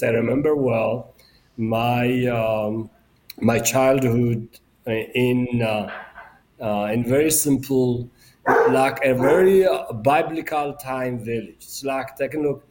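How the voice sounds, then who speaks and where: A man in his forties with an Ethiopian accent, a native Amharic speaker, answering in a remote interview in a halting way with many fillers. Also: medium pitch, a little mellow. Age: 40s. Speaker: guest journalist and author.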